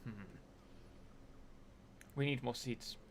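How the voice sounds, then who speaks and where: A man's voice: medium pitch, flat delivery, slow, a little hiss or hum. A young man hosting a casual live stream while playing a game, rambling and answering chat messages.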